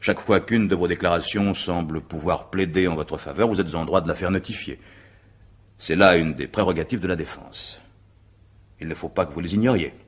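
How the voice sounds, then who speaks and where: An actor playing a courteous, methodical examining magistrate in a radio mystery drama, speaking in formal, measured, legalistic phrases. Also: male voice, deep voice, slightly rough. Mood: measured, ironic.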